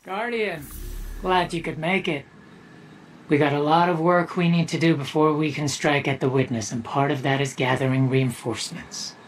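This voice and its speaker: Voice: mid-range voice, steady tone, normal speed, a little hiss or hum, natural voice. A performer playing a friendly, focused quest-giver in a video game, briefing an ally in an earnest, conversational way. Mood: welcoming, earnest.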